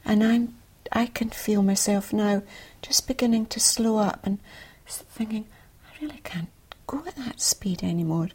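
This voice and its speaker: Husky woman reflecting on herself. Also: medium pitch, normal speed, hardly any pauses, a little mellow. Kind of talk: personal reflection in an interview.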